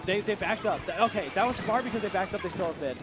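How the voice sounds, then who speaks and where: A man with a high voice calls a live esports match at a big tournament, excitable and quick to exclaim. His voice is going hoarse from hours of shouting. Music plays clearly behind him. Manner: excitable, rapid play-by-play with exclamations. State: losing his voice. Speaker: esports commentator. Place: large tournament venue.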